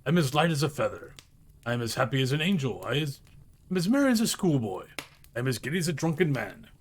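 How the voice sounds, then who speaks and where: A man voicing an overjoyed old miser in a storybook reading, excitable and childlike, gushing in exclamations. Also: medium pitch, quick pace, slight background noise, natural voice. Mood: giddy. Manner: exclamatory, breathless gushing, whooping.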